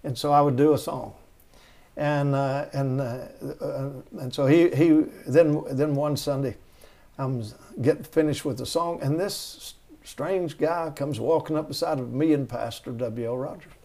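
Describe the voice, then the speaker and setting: A man's voice: a little high, steady tone, measured pace, mellow. A middle-aged man, telling rambling stories as an interview guest, with many restarts. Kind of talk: storytelling conversation.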